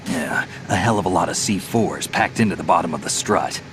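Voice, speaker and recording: A man's voice: deep voice, brisk, background noise, close to an everyday voice. An actor playing a seasoned male soldier in a video game cutscene, terse and matter-of-fact. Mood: brisk, tactical. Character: seasoned male soldier, gruff, calm, dependable. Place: recording studio. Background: game score music.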